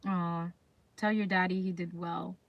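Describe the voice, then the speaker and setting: A woman's voice: fairly low pitch, fairly even intonation, a little slow, no echo, highs cut off. A woman chatting casually as a livestream host, talking straight to viewers and often putting questions to them. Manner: chatty, reads comments aloud and puts questions to viewers. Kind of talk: casual live chat.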